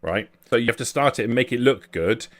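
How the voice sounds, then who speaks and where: A man's voice: mid-range voice, brisk, fairly bright tone. A man as game master, narrating and explaining a tabletop roleplaying game in an explanatory, improvised way.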